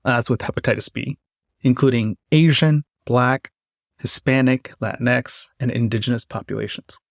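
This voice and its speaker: A man's voice: mid-range voice, gravelly, no echo, clean studio sound. A man hosting a podcast, explaining in a scripted, presenterly way and posing questions. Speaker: podcast host. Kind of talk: scripted narration and interviewing.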